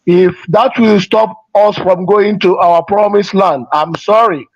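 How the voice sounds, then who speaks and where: A man from Nigeria calls in over an online line. His voice is high and his pace is slow and earnest as he pleads. The audio is dry and dull. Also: no echo. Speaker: caller. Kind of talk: apologetic phone-in call. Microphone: online call.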